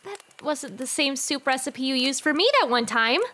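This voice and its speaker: A woman playing a caring, teasing teenage girl with an expressive, friendly manner in a fan audio drama. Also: high voice, moderate pace.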